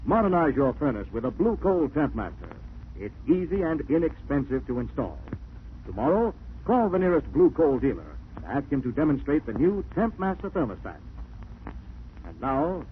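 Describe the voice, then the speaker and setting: A man's voice: fairly high pitch, big pitch swings, moderate pace. An American man reading scripted narration and sponsor messages as a 1940s radio announcer. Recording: scratchy, narrow-band 1940s radio recording.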